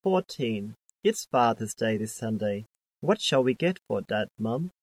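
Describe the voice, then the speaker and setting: A man reading scripted dialogues for an English listening test in a plain, measured way. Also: on the low side, lively intonation, no echo. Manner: plain, measured textbook delivery.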